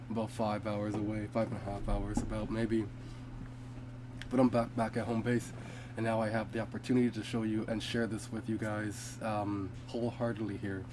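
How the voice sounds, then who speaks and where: A man's voice: fairly low pitch, noisy background. A man who sounds congested from being sick, hosting a casual crypto video and reading from web pages with fillers and restarts. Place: home.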